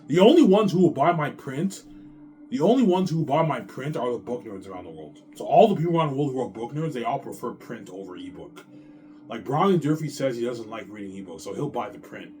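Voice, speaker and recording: A man's voice: medium pitch, darkish tone. A man talking casually and at length about his self-published book, boastful and repetitive, swearing now and then. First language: English. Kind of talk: casual rambling monologue.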